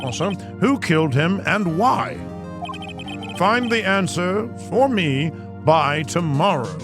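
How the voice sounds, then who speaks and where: A man voicing a stern elderly courtroom judge from a video game, speaking in measured, formal pronouncements. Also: high voice, expressive. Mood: solemn. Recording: music playing behind the voice.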